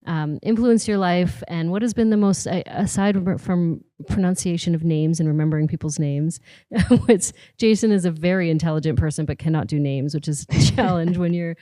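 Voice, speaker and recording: A woman hosting a live panel. Her voice is lowish and mellow, and her questions stack up loosely with plenty of ums. It is recorded close and dry. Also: moderate pace, no room sound. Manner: chatty, stacks questions with fillers. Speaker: podcast host and moderator.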